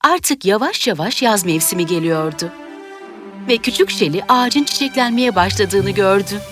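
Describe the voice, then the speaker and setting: A woman narrates a children's tale in a scripted storytelling style. Her pitch sits in the middle and swings widely. Music plays clearly behind her. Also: expressive, normal speed.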